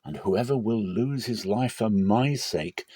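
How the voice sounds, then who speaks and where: A man acts out a solemn prophet and teacher in a dramatic scripture reading. The voice is low and mellow, grave and deliberate, with emphatic authority. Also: husky, dry recording. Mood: solemn, urgent.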